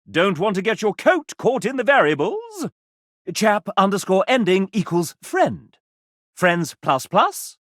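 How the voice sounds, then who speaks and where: A cheeky, flamboyant mascot from a video game, smug and flirtatious. His delivery is theatrical and unhurried, with huge leaps in pitch. The sound is very dry and close. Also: a bit bright, dry recording, full character voice. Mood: breezy, theatrical. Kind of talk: video game character voice acting.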